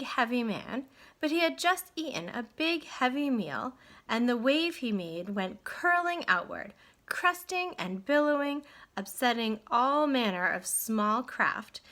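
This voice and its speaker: A woman teacher reading a storybook aloud to children in an expressive, guiding way. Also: fairly high pitch. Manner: addresses children directly, guiding them with questions. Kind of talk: read-aloud lesson, expressive narration.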